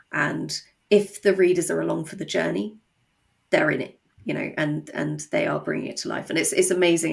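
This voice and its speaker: A woman with a low voice and noticeable vocal fry. She speaks as a writer being interviewed, chatting casually and slipping into little quoted asides as she explains. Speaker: guest, audio drama writer and novelist.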